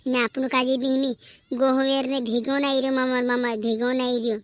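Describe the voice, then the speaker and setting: Cartoon comedy villager, performer putting it on: very high voice, fretful, repetitive chatter, rural western Madhya Pradesh accent, dry sound. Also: level tone, normal speed, no echo, close to an everyday voice. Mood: excited chatter.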